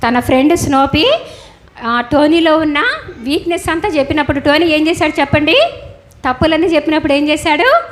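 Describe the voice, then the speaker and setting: A woman's voice: sits high, a little fast. A woman Sunday school teacher giving children a moral lesson, instructing and asking questions as she talks with puppets. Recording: clear background noise, ambient sound.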